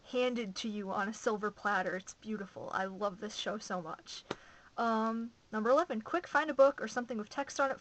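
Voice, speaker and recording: A woman's voice: fairly high pitch, slight background noise, rough recording. A young woman from Massachusetts chatting casually on her home video blog, gushing and rambling with enthusiasm, recorded on a camera mic. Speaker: vlogger.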